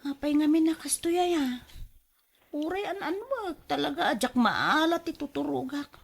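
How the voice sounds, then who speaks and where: A woman's voice: high-pitched, animated, normal speed, vocal fry. A woman acting as a restless, nagging wife in a radio drama, full of sighs and exclamations. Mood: restless, fretful. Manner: exclamatory, sighing, querulous. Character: restless, nagging wife fretting and bickering with her husband.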